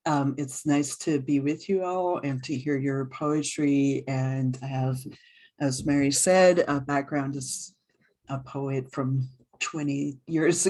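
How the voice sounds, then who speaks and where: Chatty woman in her fifties. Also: sits low. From Oakland, California. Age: 50s. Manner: relaxed, chatty, with frequent fillers and small laughs. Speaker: author. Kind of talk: casual talk on a video call. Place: small room at home. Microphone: video call.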